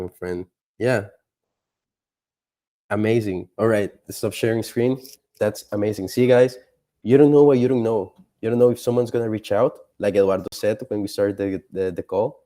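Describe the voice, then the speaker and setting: Mellow, low-voiced man coaching a group. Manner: informal, encouraging, peppers talk with rhetorical questions. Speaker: career coach leading a group call. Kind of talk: casual motivational coaching on a group call. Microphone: video call.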